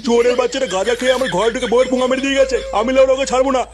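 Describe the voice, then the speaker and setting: Fast, high-pitched furious cartoon husband. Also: male voice, little pitch movement. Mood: outraged, ranting. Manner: ranting, threatening, crude. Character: furious foul-mouthed cartoon husband out for revenge. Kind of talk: Bengali comedy cartoon dubbing.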